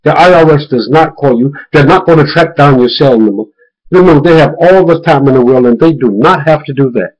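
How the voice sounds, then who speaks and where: A man's voice: fairly high pitch, brisk, darkish tone. Man giving an emphatic warning, dull recording. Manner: emphatic, repeats words for stress. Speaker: video blogger warning viewers about a phone scam. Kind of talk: informal spoken warning with retold phone conversations.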